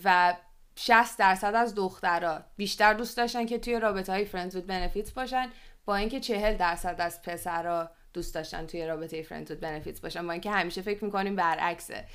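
Female podcast co-host, Iranian Persian accent with English loanwords. Crisp, clean tone, conversational, explains and asks. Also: fairly low pitch, a bit bright. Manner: explanatory, poses questions to co-host. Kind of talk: conversational podcast discussion, presenting survey figures.

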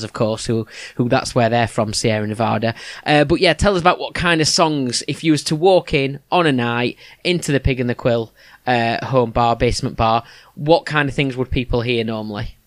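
A man's voice: medium pitch, no room sound, studio quality. A man with a Yorkshire accent hosting a casual podcast chat, chuckling often and restarting his sentences. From England. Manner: chatty, chuckling, with many restarts. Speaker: podcast host.

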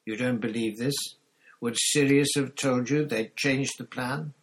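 A man's voice: fairly even intonation, unhurried, natural voice. A man voicing a terrified, grovelling man who whines and pleads for his life in a storybook reading. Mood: pleading. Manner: whining, pleading, squeaky.

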